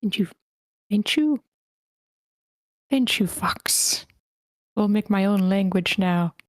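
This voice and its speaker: A woman's voice: medium pitch, slow, dry recording, clean studio sound. A woman casually moderating an online meeting as its host. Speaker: host of table read.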